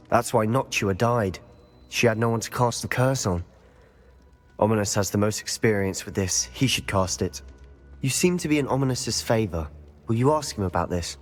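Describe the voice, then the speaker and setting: A man's voice: on the low side, close to an everyday voice. A man playing a bold, bookish young wizard student in a game, decisive and intense. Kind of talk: scripted game cutscene acting. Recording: long hall reverb under music.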